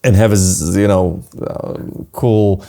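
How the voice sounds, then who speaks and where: A man's voice: low-pitched, steady tone, takes it slowly, gravelly, mellow. A man with a Polish accent, a wedding photographer guest chatting casually, rambling with many fillers and restarts. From Poland. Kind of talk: casual interview chat.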